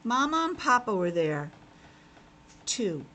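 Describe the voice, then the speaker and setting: A woman teacher narrates and explains a storybook for young children. Her voice sits a little high and moves with lively intonation. The delivery is child-directed, and there is light noise in the recording. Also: takes it slowly. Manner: expressive, child-directed, asking questions.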